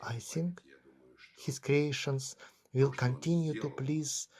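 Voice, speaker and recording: A man speaking a dubbed documentary voice-over in a slow, solemn reading. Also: mid-range voice, steady tone, a little mellow. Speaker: voice-over translator of an interviewee.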